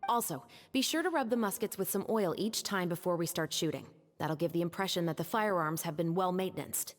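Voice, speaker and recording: Woman as a composed, low-voiced exacting officer. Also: in a large hall, soft background music, close to an everyday voice. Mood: businesslike. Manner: measured, precise, matter-of-fact. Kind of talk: video game cutscene voice acting.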